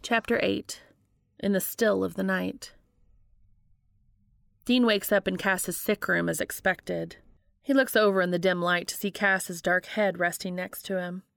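A woman's voice: mid-range voice, fairly even intonation, measured pace, gravelly, fairly bright tone, dry recording. A woman reading a story aloud in audiobook-style narration, as the reader of a fiction podcast.

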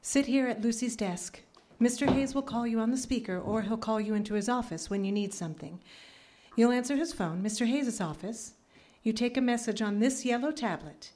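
A woman playing a pragmatic, wry, no-nonsense office manager in a live radio drama, deadpan and instructive. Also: fairly high pitch, normal speed, a little hiss or hum, lightly acted. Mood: brisk instructing. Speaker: actor.

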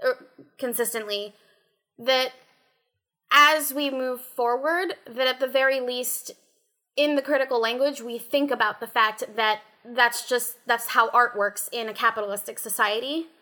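Female critic, bright forward voice on the high side, deliberate panel discussion delivery. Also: steady tone. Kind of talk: earnest roundtable discussion.

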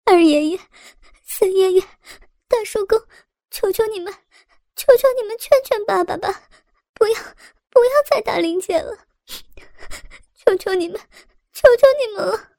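Lady doing a crying young woman begging, high and wobbly, dry close sound. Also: normal speed, creaky, long pauses, dark tone, no room sound. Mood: tearful pleading. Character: desperate sobbing young woman pleading.

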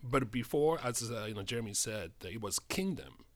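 A man's voice: medium pitch, animated. Japanese-accented middle-aged man, hesitant guest, stop-start delivery. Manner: halting, many fillers and restarts. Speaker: guest, spirits importer. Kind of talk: casual studio chat.

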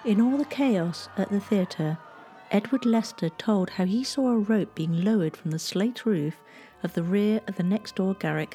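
A woman's voice: mid-range voice, mellow. A woman reading scripted historical narration as a storyteller.

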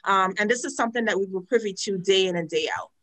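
Woman, 40s, from Trinidad; interview guest and advocate; frank, earnest storyteller, mid-pitched voice. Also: fast. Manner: frank, earnest storytelling with animated quoted asides. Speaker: guest, domestic violence advocate. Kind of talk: personal testimony in a podcast interview.

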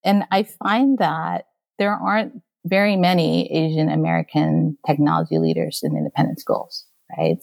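Guest, woman from Okinawa: low, gravelly voice, earnest and conversational. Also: a little mellow. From Okinawa, Japan. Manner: earnest, acts out quoted exchanges. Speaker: guest, school technology leader. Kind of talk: casual interview talk.

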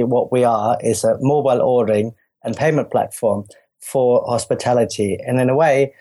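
A man's voice: mid-range voice, mellow. A man from Germany with a German accent, a startup founder explaining his business earnestly in an interview. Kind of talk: podcast interview.

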